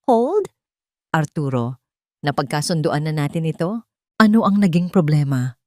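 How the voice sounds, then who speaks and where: A woman's voice: low-pitched, sing-song, unhurried, a little mellow, no room sound, clean studio sound. A woman voicing a cold, sarcastic male tycoon in an audio drama, with a clipped, commanding manner.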